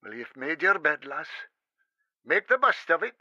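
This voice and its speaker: A man playing a gruff middle-aged father in a radio drama, heard through a telephone filter. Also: a little high, sing-song, normal speed, sharp tone, dry recording, highs cut off, heavily acted. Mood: reserved, gruff. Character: bitter, gruff hard-drinking father of a grown daughter.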